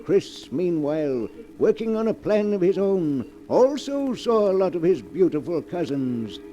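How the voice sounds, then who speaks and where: A man's voice: sits high, big pitch swings, unhurried, mellow, dull audio. A man narrating a 1940s radio mystery drama as its host, on an old recording. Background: music.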